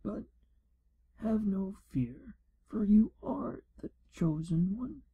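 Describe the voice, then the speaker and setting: A man playing a pompous, evasive wizard proctor in an ASMR fantasy role play, speaking in a hushed, breathy half-whisper. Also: high-pitched, lively intonation, slow pace, phone-quality sound, a little hiss or hum, full character voice. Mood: pompous, impatient. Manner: pompous, wry, evasive, theatrical storytelling. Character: pompous, shifty male wizard proctor giving an exam.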